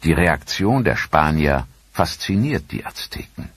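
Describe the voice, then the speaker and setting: A man narrating a documentary in a dramatic, expressive scripted manner with rhetorical questions. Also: very deep voice, a little slow, slightly rough, dry recording.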